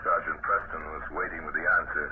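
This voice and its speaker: A man narrating a radio mystery drama in a measured, dramatic 1940s style. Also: rumbling low, flat delivery. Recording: dull old radio transcription with hiss.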